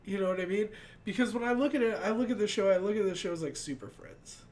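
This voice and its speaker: A man's voice: high voice, fast talker, darkish tone. A young man hosting an anime reaction at home, stuffed up from crying and rambling with lots of "like.". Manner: rambling, fills talk with "like". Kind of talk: emotional casual reaction chat. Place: small home room with shelves of figures.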